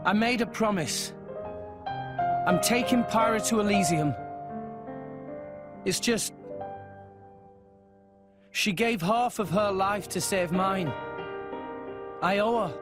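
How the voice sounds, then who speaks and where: A man voicing an earnest, determined adventurous teenage boy in a video game cutscene, speaking sincerely under loud music. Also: high voice, slow, pauses often. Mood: resolute. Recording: loud music over the dialogue.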